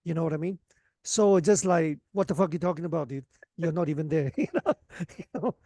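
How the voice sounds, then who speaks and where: A middle-aged man talking casually as an actor guest on a podcast interview, relaxed and rambling, often asking "you know what I mean" and laughing. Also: fairly high pitch, brisk, dry recording, studio quality. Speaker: actor and action choreographer guest.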